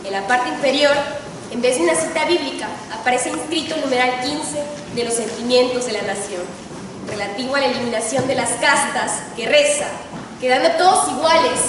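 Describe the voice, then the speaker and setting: A young woman student with a Mexican accent giving a formal, solemn oratory speech with emphatic delivery and dramatic pauses. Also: high voice, fairly bright tone. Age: teens to young adult. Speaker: student contestant in an oratory contest. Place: large reverberant indoor hall. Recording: echoey hall, distant mic, faint noise.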